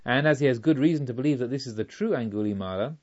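Audiobook robber chief, read by a man: quiet menace, remorse, formal measured delivery, slightly muffled sound. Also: mid-range voice, natural voice. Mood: calm, resolute. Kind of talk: audiobook storytelling.

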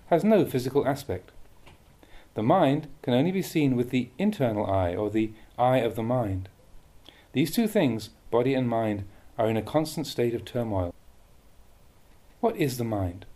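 A man reading a Buddhist meditation teaching aloud with measured, deliberate phrasing. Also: mid-range voice, dark tone. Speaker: Buddhist monk reading a translated dhamma talk.

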